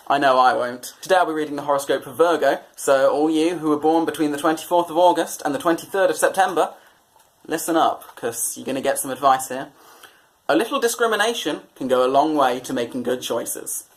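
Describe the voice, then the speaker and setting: A man's voice: on the high side, a bit bright. A British man presenting satirical commentary on newspapers in a sardonic, mock-exasperated way. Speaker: comedy presenter.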